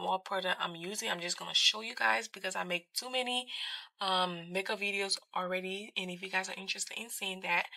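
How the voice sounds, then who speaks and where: A woman's voice: mid-range voice, gravelly, bright, forceful tone, dry recording. A young woman from Liberia chatting casually in slangy, emphatic speech, telling a story like a vlogger. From Liberia, West Africa. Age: young adult. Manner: informal, slangy, emphatic repetition. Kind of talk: casual chatty storytime while doing makeup. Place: small furnished bedroom, indoors.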